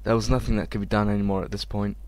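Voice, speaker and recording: A man hosting a let's play, reading the game's script aloud haltingly with occasional asides. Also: fairly low pitch, level tone, a little mellow. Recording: game music under the voice. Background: music.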